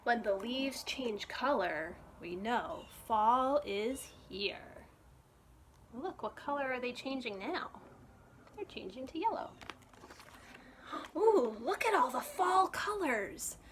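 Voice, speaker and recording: A woman with a fairly high voice reads aloud as a children's librarian at storytime. Her intonation swings widely and expressively, and she takes her time over the phrases. She often addresses the young listeners directly with simple questions. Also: expressive, slow pace, a little hiss or hum. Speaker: children's librarian reading a picture book aloud.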